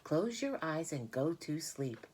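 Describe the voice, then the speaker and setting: A wise, protective mother-like spider from a storybook, acted out by a woman. She is composed and sure of herself, giving firm, reassuring instructions in a voice pitched up from plain narration. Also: sing-song, measured pace, darkish tone, slightly rough, full character voice. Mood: gently firm.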